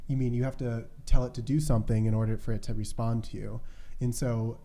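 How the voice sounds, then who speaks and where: A man's voice: mid-range voice, flat delivery, brisk, dark tone. A man co-hosting a podcast, talking through ideas in casual discussion. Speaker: podcast co-host.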